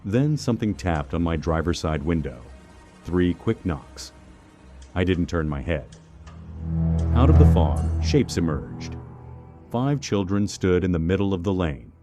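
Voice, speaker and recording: A man narrating a scripted first-person horror story in a measured, suspenseful manner. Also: sits low, sing-song, over music.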